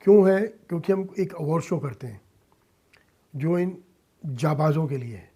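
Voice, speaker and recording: A mature Indian man speaking as an interview guest, earnest and emphatic, in deliberate short phrases with some English mixed in. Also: fairly high pitch, expressive, dark tone, breathy edge. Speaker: interview guest, social-cause filmmaker and philanthropist. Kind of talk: earnest radio interview talk.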